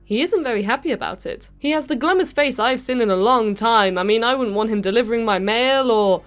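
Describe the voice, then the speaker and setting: High-pitched British woman, chatty reviewer. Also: a little fast, soft background music. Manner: chatty, jokey, playful British slang. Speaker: host of a video game review channel. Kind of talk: casual comedic review to camera.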